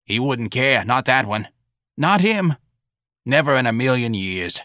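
A man's voice: medium pitch, sing-song, measured pace, bright, forceful tone, no echo, clean studio sound, pitched above the performer's own voice. Man putting on a froggy, brash old New grandmother. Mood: scornful. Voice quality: froggy, croaking. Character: Santa, brash, blunt old Southern grandmother. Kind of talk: audiobook character dialogue.

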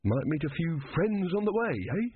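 A man's voice: fairly high pitch, big pitch swings, moderate pace, a little hiss or hum, voice pushed up. A man voicing a sheepish, unsophisticated soldier in his late thirties, on a narrow radio-like recording. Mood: uncertain, hopeful. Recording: phone-like narrow radio sound.

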